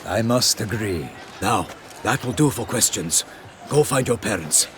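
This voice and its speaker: Courtly knight amid outdoor noise. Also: male voice, on the low side, lively intonation, a little slow. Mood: courteous, formal. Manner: florid, formal, declamatory. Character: courtly, chivalrous male knight, genial and formal. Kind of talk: video game voice acting.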